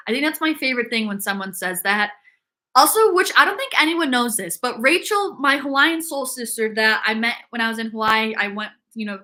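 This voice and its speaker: A woman's voice: medium pitch, pushed and bright. A young woman hosting a casual fitness livestream, chatting upbeat and reading viewer comments aloud, with lots of "like" fillers.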